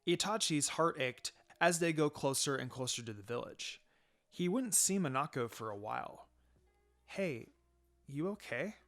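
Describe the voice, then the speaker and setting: A man's voice: mid-range voice, expressive, moderate pace, fairly bright tone, soft background music. A man reading fan fiction aloud as a dramatic story narrator.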